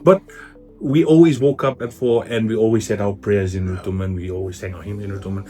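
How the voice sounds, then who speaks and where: A man hosting a casual interview, talking in an animated way with many fillers. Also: lowish voice, a little fast, faint music behind.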